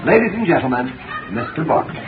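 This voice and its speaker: A man's voice: a little high, big pitch swings, fast talker. A man announcing formally in the style of 1930s radio. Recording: muffled, noisy 1930s radio recording.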